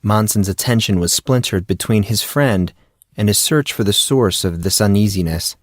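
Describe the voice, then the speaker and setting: Male fiction narrator, lowish voice, dry studio-tight sound, reading fantasy prose. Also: normal speed, dry recording. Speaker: audiobook narrator.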